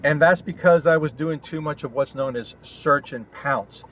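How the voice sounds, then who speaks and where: Higher-voiced man vlogging over clear car noise. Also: moderate pace, fairly bright tone. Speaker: vlogger and amateur radio operator. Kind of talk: casual vlog talk to camera. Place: inside a car. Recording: clear road and vehicle noise. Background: car and outdoor ambience noise.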